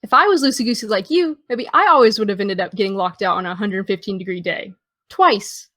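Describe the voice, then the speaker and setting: A woman's voice: medium pitch, brisk, fairly bright tone, dry recording, clean studio sound, lightly acted. A young American woman playing a guarded, dryly witty teenage girl in understated, naturalistic audio drama dialogue. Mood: wry.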